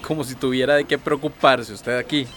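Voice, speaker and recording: A man's voice: mid-range voice, fairly even intonation, fairly quick, fairly bright tone, lightly acted. A man with a Costa Rican accent playing a frank, teasing, supportive office colleague in a radio soap opera.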